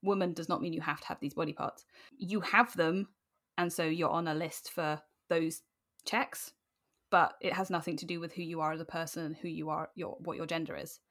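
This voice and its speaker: A woman's voice: low voice, steady tone, a little fast, fairly bright tone. A woman in her twenties with a British accent, talking casually as a podcast guest, rambling and acting out imagined remarks as they go. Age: 20s. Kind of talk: casual interview chat.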